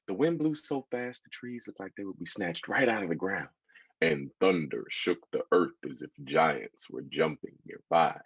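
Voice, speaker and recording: Husky, animated American male storyteller. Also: sits low, normal speed, no room sound, muffled recording. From United States. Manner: expressive, engaging listeners with asides. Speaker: children's author reading his book aloud. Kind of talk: animated storytelling to children, with questions.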